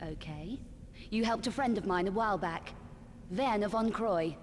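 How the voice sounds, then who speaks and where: A woman's voice: mid-range voice, normal speed, in a large hall, a little hiss or hum, close to an everyday voice. An actress voicing a determined young woman adventurer in a video game, cool, clipped and businesslike. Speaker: character in a video game cutscene.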